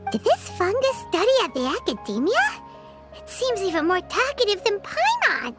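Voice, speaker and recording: A tiny floating companion with a squeaky, very high voice that leaps all over the place. She is fussy and chirpy and keeps calling herself by name, with loud game music running underneath. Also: wildly swooping pitch, moderate pace, full character voice. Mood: indignant.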